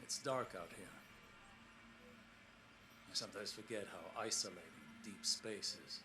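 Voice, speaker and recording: An actor playing a weary, scholarly explorer in a science fiction game, recording a log in a measured, grave, formal way with brooding pauses. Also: male voice, fairly low pitch, music in the background, lightly acted. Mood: lonely, wistful. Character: weary, scholarly male sci-fi explorer, solemn and troubled.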